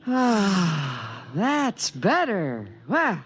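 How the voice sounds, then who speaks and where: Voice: medium pitch, wildly swooping pitch, drawn-out words, fairly bright tone, husky, heavily acted. An actor in a 1950s radio drama playing a fussy, protective invisible alien with precise, formal diction. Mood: eager, searching. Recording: noisy vintage 1950s radio recording.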